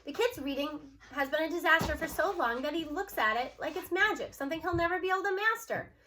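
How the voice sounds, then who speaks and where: Bright, high-voiced woman reading a story aloud. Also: quick pace, slight background noise. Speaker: read-aloud narrator. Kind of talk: expressive first-person storybook narration.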